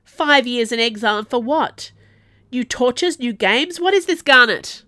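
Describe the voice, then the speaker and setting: A woman voicing a mad young nobleman in a storybook, hoarse and forceful with rage. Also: mid-range voice, expressive, a little slow, no echo. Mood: howling accusing. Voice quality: voice breaking hoarsely. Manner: forceful, screaming accusations.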